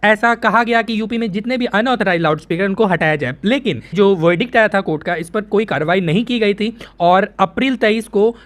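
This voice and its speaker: High-pitched Indian man explaining the news. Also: fairly quick, few pauses, fairly bright tone. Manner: conversational, repeats phrases for emphasis, asks and answers own questions. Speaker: presenter of an explainer video. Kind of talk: news explainer, teaching informally.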